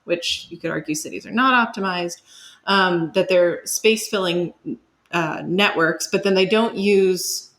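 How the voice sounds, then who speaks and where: A woman speaking as an academic guest, giving explanatory interview answers in measured, searching phrases with asides. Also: on the low side, a bit bright.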